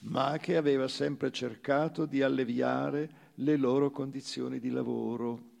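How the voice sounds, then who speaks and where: A man giving a sober, measured theatrical reading of a literary memoir, as an actor on stage. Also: medium pitch, creaky, darkish tone. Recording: long reverberant hall.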